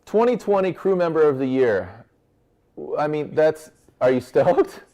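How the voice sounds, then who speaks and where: A man's voice: on the high side, expressive, unhurried, dark tone, dull audio. A man hosting a virtual awards show, chatting loosely with slangy, hyped banter.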